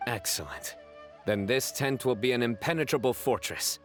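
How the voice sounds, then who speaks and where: A man playing a stern, solemn young warrior in a video game, speaking in a deadpan, measured, grandiose way. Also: mid-range voice, music in the background, lightly acted. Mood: serious, resolute.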